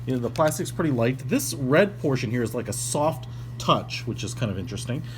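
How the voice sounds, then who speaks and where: A man's voice: mid-range voice, lively intonation, a little fast. A man giving a casual, ad-libbed product review in an informal, chatty way with a bit of slang. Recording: clearly noisy recording with hum.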